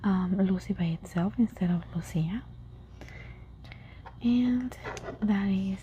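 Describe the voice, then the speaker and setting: Give me a woman whispering an unhurried language lesson. Her voice is soft and mellow, and she says each word over and over. A faint hiss sits underneath. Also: mid-range voice. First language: Spanish. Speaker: language teacher.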